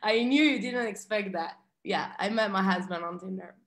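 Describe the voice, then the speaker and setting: A woman in her thirties, a guest on a video call interview, chatty and animated, telling stories from her bright room at home. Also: mid-range voice, moderate pace, roomy sound. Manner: chatty and animated, laughs often, gestures with hands. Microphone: video call webcam.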